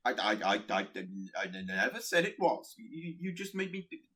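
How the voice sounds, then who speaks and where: Male actor for timid pestilence entity, radio drama: heavy stutter, husky, pitch jumping about, eager to corrupt. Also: big pitch swings, sharp tone, full character voice. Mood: anxious. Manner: timid, halting, rambling. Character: nervous, eager male spirit of pestilence who delights in corruption. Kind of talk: radio play acting.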